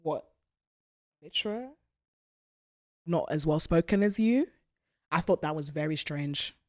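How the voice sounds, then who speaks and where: A woman's voice: sits low, animated, measured pace. A woman from the UK hosting a casual interview, candid and teasing. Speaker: podcast host.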